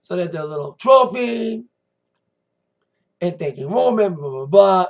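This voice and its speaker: An American man with a slightly hoarse voice, giving a rambling, informal and emphatic recap as a wrestling review vlogger. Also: sits high, expressive, unhurried. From United States. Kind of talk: casual recap commentary to camera.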